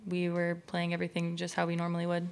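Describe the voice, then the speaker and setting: A young woman, a college softball player, answering press questions steadily with some filler ums into a table mic. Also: lowish voice, completely flat delivery, fast, gravelly, clear, resonant tone. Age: young adult. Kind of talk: postgame press conference answers. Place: press conference room.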